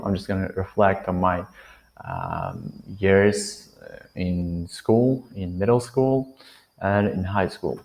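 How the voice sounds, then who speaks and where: Slow-talking nineteen-year-old man. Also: sits low, darkish tone. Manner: hesitant, many fillers and restarts; glances around while thinking. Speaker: vlogger. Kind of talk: unscripted talking-head advice.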